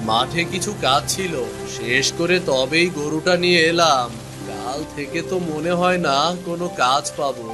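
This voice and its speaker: Man playing a worried, higher-voiced village father. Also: moderate pace, music in the background, natural voice. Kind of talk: Bengali cartoon dubbing.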